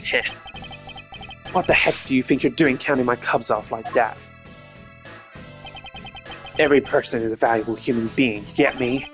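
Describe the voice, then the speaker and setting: A man's voice: mid-range voice, steady tone, normal speed, long pauses, fairly bright tone, faint music behind, lightly acted. A man voicing a brash, proud, scornful Interpol agent in a video game, with a swaggering, slangy, confrontational manner. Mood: scolding.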